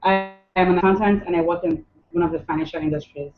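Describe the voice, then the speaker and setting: A woman's voice: fairly low pitch, fairly even intonation, fast talker, soft background music. A Nigerian-born woman co-hosting a casual chat, upbeat and agreeable as she steers the talk. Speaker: co-host. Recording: echoey room, dull lo-fi sound.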